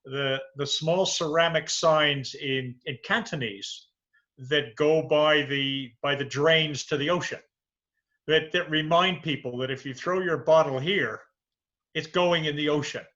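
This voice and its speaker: Male interview host, crisp bright tone, muffled lo-fi video-call audio, curious and stumbling. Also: a little high, unhurried. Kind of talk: conversational interview questions.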